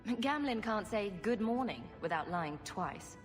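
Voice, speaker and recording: Blunt, dry young heroine, actress voicing, game cutscene with light music. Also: female voice, medium pitch, expressive, unhurried, natural voice.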